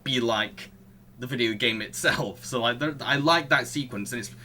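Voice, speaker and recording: Bright-voiced man discussing films casually. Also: medium pitch, normal speed, a little hiss or hum. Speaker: podcast host.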